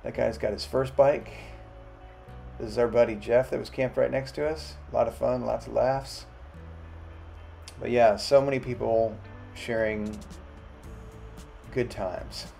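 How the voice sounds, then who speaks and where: A man narrating his motorcycle travel vlog in a relaxed, chatty voice-over. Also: mid-range voice, measured pace, gravelly, long pauses, soft background music.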